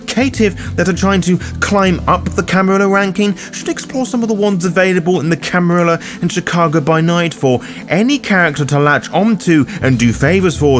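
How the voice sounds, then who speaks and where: A man hosting a roleplaying guide, reading scripted explanatory narration in a theatrical, cheeky manner. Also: on the high side. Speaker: host of a tabletop roleplaying guide.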